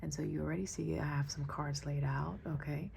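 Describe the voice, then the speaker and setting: A woman with a raspy, froggy early-morning voice, giving a spiritual tarot reading with spoken prayers in a calm, soft-spoken way that often checks in with listeners. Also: sits low, fairly quick, a little hiss or hum. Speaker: tarot reader.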